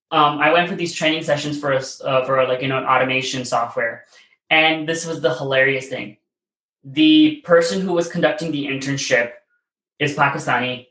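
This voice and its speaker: A man's voice: on the high side, bright, forceful tone. A man in his late twenties from the United States, telling stories as an interview guest in a chatty, rambling way full of "like" and "you know.". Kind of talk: casual interview storytelling. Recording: roomy echo.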